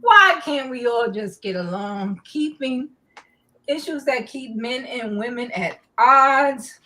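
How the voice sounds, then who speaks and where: Slow-talking stuffy woman host. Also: medium pitch, a bit bright, poor audio. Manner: slow, halting, with many fillers. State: stuffy nose. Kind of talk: live online talk show.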